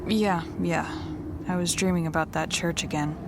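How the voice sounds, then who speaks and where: A woman with an American accent playing a sharp, foul-mouthed city wife in her thirties in a naturalistic script reading. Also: lowish voice, steady tone, normal speed, background noise, close to an everyday voice. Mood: groggy. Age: 30s. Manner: blunt, casual, teasing. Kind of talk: screenplay table-read acting, naturalistic dialogue.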